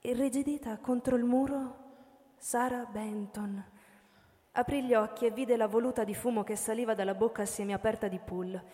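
A woman's voice: a little high, fairly even intonation, moderate pace, warm tone. A woman giving a dramatic literary narration as the narrator of a radio play. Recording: reverberant hall sound.

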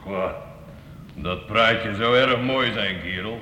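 An actor playing a domineering, suspicious medieval castle lord in a radio drama, curt and contemptuous in manner. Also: male voice, fairly low pitch, slow pace, sharp tone. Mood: scornful, demanding. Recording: echoey, noisy old radio play recording.

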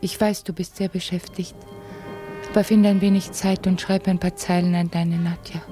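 A woman's voice: fairly low pitch, little pitch movement, moderate pace, mellow, soft background music, close to an everyday voice. An actress playing a tender, wistful woman in a literary radio drama.

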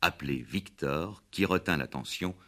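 A man narrating a scripted 1960s radio play with deliberate storytelling phrasing. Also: a bass voice, fairly bright tone.

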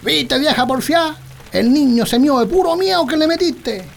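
An actor playing a grumpy, stubborn, sleepy old country husband in a comic radio drama, grumbling with a Chilean accent. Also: male voice, very high voice, moderate pace, gravelly. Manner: grumbling, colloquial.

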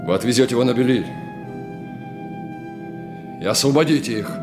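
A man's voice: mid-range voice, normal speed, over music, close to an everyday voice. An actor playing an imperious but relenting king in a radio drama, with a commanding, clipped delivery. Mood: stern, relenting. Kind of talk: dramatic radio play acting.

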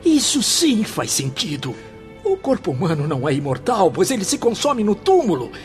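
An actor playing a tormented, repentant former bohemian in a religious radio drama, speaking in a solemn, theatrical, confessional way. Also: male voice, sits high, huge pitch swings, moderate pace, soft background music. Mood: solemn conviction.

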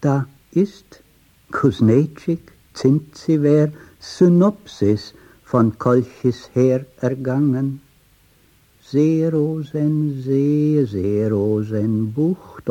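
A man's voice: mid-range voice, dark tone, dull audio. A man reciting sound poetry in a rhythmic, chanting, playful way, dwelling on syllables.

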